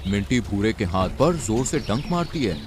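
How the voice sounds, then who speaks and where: A man's voice: on the low side, animated, moderate pace. A man narrating a scripted cartoon story.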